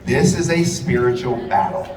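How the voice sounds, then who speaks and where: A man's voice: medium pitch, moderate pace. A man giving an impassioned, repetitive, profane rally speech in a large event hall. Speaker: rally speaker and radio host. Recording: echoey hall, distant, loud crowd. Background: loud crowd and hall noise.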